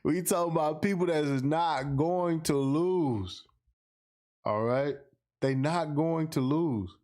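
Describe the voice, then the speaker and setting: Male American podcast host, slightly high-pitched, unhurried delivery, slangy and emphatic, off-the-cuff livestream feel. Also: darkish tone. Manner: slangy, emphatic, repeats phrases for effect. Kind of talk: casual livestream monologue, responding to viewer comments.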